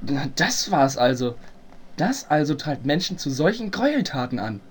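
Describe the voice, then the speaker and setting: Naive teen sidekick sleuth played by a man, whiny, fairly high male voice, spoof radio play. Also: sing-song, moderate pace, slightly rough. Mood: earnest, gloomy. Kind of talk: amateur parody radio play acting.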